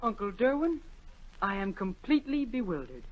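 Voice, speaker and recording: A man's voice: high voice, big pitch swings, normal speed. A male actor playing a haughty, spiteful young grand duke who gloats, in 1940s radio drama style. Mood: haughty, scheming. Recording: dull 1940 radio recording.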